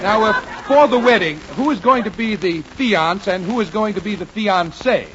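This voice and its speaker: Fussy clerk from 1940s radio comedy, high pitched man, dull crackly sound. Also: expressive, normal speed, fairly bright tone. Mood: officious, dry. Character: fussy, wisecracking marriage license clerk, officious man.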